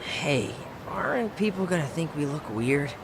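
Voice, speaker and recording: A man's voice: fairly high pitch, big pitch swings, unhurried, gravelly, noisy background, heavily acted. A male actor playing a cocky, laid-back teenage boy with an American accent in a video game dub, nonchalant and cheeky. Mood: doubtful.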